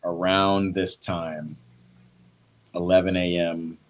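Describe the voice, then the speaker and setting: A man's voice: low-pitched, flat delivery, takes it slowly. A young man casually chatting to viewers on a football watch party livestream, joking and laughing.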